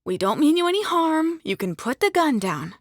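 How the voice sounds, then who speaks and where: Stubborn, animated young woman thief. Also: high voice, moderate pace, dry recording, clean studio sound. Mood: soothing, stubborn. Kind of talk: dramatized audiobook acting.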